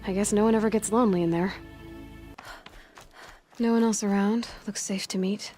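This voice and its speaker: An actress playing a headstrong young outcast huntress in a video game, wry and questioning. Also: female voice, medium pitch, steady tone, normal speed, mellow, lightly acted. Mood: musing. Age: young adult. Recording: music under the voice. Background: music.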